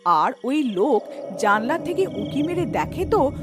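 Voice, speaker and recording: A woman narrator with a high, lively voice. She reads a scripted cartoon story over light background music. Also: a little slow.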